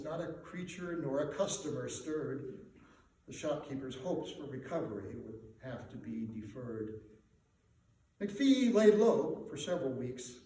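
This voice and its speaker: A man performing a dramatic recitation of comic rhyming verse for an audience, in a theatrical, measured delivery with emphatic swells. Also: on the high side, warm tone. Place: live performance before a laughing audience in a reverberant room. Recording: echoey room, distant mic, faint noise.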